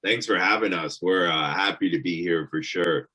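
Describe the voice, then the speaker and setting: A man's voice: low voice, moderate pace, bright, forceful tone, husky. A man in his thirties with a Canadian accent, a musician guest on a video call, speaking hesitantly with many fillers. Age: 30s.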